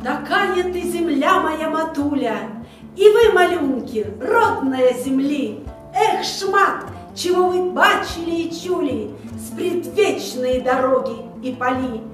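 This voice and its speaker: A woman reciting a poem slowly and with feeling over music. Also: high-pitched, lively intonation. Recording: very echoey room, music behind.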